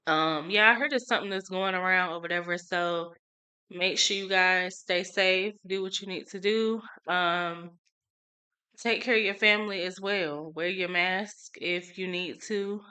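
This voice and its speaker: A young woman hosting a casual solo podcast, chatty and relaxed with many fillers, a little stuffy from a cold. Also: lowish voice, smooth. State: congested from a cold. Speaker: podcast host.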